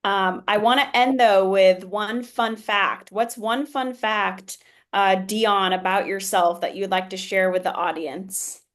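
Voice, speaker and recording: A woman's voice: medium pitch, fairly even intonation, measured pace. A woman hosting a casual business podcast, asking questions in a friendly, chatty way. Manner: friendly, chatty, laughs often. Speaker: podcast host.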